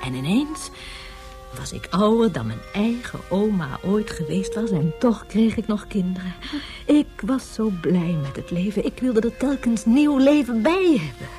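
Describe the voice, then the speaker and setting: An actress in a radio drama playing a dreamy, much-rejuvenated mother who muses tenderly, sometimes sinking into a hush. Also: medium pitch, sing-song, measured pace, faint music behind. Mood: wistful, elated. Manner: tender, musing, drifting into hushed whispers.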